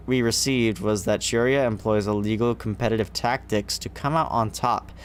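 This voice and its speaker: A man livestreaming a video game, reading its text aloud in a theatrical way. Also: fairly low pitch. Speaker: gaming livestreamer.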